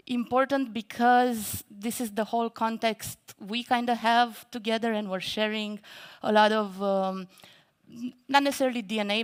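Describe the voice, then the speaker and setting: Middle-aged woman from romania giving a keynote on a big stage, smooth clear voice, nervous, self-deprecating, lots of ums. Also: fairly high pitch. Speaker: conference speaker. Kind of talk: keynote talk. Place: large event stage.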